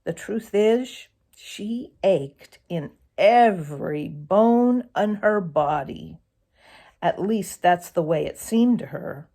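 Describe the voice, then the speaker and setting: A woman reading a children's animal story aloud as narrator, in an expressive storybook style. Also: mid-range voice, slow.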